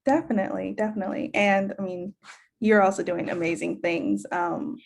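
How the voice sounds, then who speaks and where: A woman's voice: mid-range voice, steady tone, normal speed, creaky. A woman hosting a fan podcast, asking questions in an enthusiastic, affirming way. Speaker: podcast host. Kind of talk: casual interview.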